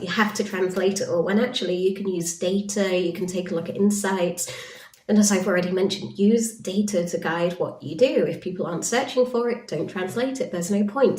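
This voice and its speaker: A woman's voice: lowish voice, mellow. A woman giving an informal presentation in a frank, conversational, explanatory way. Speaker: conference presenter, industry consultant. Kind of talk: informal conference-style presentation. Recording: roomy echo, distant microphone.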